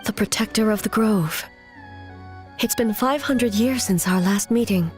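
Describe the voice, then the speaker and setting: A woman voicing a composed, authoritative noble guardian in a video game, formal and measured. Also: medium pitch, soft background music, close to an everyday voice. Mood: resolute. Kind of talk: video game character dialogue.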